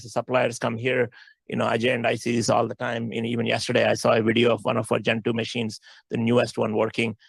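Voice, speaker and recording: A man's voice: mid-range voice, gravelly, breathy edge, dry recording. A man speaking as a company executive, answering questions on an earnings call in an explanatory way, repeating phrases for emphasis.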